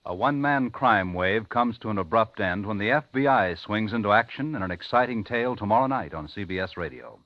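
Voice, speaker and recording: A man's voice: on the low side, lively intonation, brisk, few pauses. A man with an American accent reading a punchy, polished 1950s radio promo as a network announcer. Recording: dull 1950s radio recording.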